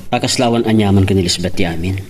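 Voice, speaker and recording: A man's voice: low voice, fast, soft background music, lightly acted. A man with an Ilocano accent playing a cautioning man in a radio drama. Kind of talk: Filipino radio drama acting.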